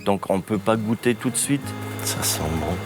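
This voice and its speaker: A man from Bavaria, a brewer speaking in an interview in a slow, halting way with many pauses. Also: on the low side. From Bavaria, Germany. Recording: music bed under the voice.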